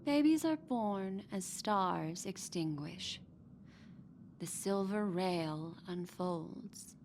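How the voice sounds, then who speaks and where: Casting: solemn cosmic storyteller, game narration, measured literary phrasing, slow, mid-pitched, faint noise. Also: female voice, darkish tone. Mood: solemn, reflective. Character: solemn, poetic female storyteller of a cosmic saga.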